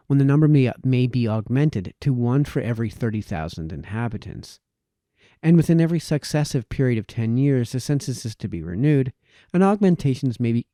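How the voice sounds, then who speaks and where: A man reading formal historical prose aloud in a measured way, letting his phrases fall away at the ends of sentences. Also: mid-range voice, dark tone, dry recording, clean studio sound. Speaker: reader of historical political essays.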